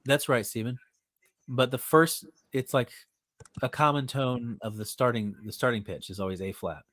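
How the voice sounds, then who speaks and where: A man with a stuffed-up nose leads an online group music class. He talks in a loose, halting way full of fillers. His voice is dry and close on a computer mic. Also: mid-range voice, fairly even intonation, fairly bright tone, no echo. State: stuffed up with a cold. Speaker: class teacher.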